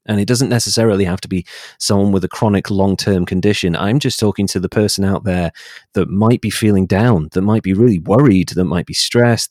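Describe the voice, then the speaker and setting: A man's voice: fairly low pitch, a little mellow, no echo, clean studio sound. A man speaking as a podcast guest, giving reflective, reassuring explanations in a conversational interview style.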